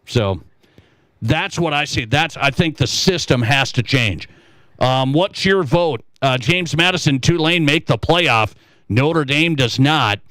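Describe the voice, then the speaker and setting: A man's voice: on the high side, takes it slowly, fairly bright tone, no room sound. Opinionated man hosting sports talk radio. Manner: opinionated, emphatic, repeats points. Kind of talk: conversational sports commentary, reading listener texts.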